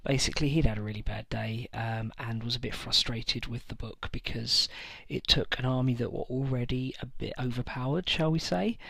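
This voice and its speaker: Creaky, bright-toned male hobby host. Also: medium pitch, no echo. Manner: hesitant, many fillers and pauses. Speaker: tabletop wargaming channel host. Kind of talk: casual list-building talk to camera.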